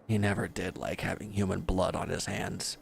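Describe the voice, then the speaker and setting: Guy doing a low gravelly villain for an audio roleplay, bitter n weary, sarcastic, blaming you, noisy ambience behind. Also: level tone, moderate pace. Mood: sardonic, accusing. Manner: sardonic, accusing, weary. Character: bitter wounded villain, grieving and accusing. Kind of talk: scripted audio roleplay addressed to the listener.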